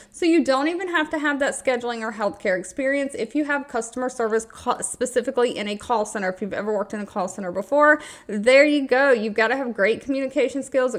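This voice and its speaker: A woman hosting an online video, reading through listings in an upbeat, conversational, emphatic way, part scripted and part informal. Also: a little high. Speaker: video host presenting remote job listings. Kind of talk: informal scripted screen-recording narration.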